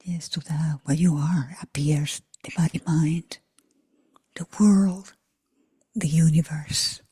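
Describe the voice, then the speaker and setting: A woman leading a guided meditation for a group in a hushed, breathy near whisper, unhurried, with long pauses between short phrases. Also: low voice, fairly even intonation, dry recording, clean studio sound. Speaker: meditation teacher.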